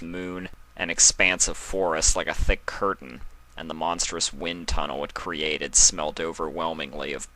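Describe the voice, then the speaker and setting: Deep, bright-voiced male storyteller. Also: poor audio. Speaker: fan fiction reader and narrator. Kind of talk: expressive scripted storybook narration.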